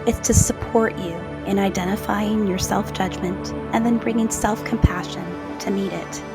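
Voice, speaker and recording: Female meditation guide, mid pitch, gentle soothing pauses, clear new-age music bed. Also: fairly even intonation. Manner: slow, soothing, soft-spoken with gentle pauses.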